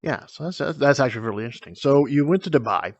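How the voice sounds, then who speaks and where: An American man hosts a casual chat, asking his questions haltingly with lots of restarts. His voice sits at a middling pitch, and the sound is dry and slightly dull, like a lo-fi voice chat. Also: lively intonation, dry recording. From United States. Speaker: host. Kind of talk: casual conversation.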